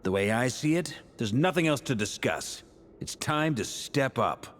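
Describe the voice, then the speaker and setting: A man's voice: medium pitch, lively intonation, measured pace, fairly bright tone, a little hiss or hum. An actor playing a hearty, good-humored man in a video game, jovial and bold. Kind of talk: video game cutscene voice acting.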